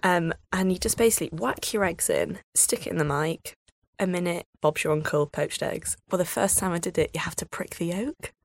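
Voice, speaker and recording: A woman's voice: on the low side, normal speed, creaky, dry recording. A British woman chatting casually and colloquially as a podcast guest. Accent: British English. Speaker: online food magazine writer, podcast guest. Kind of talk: casual chat.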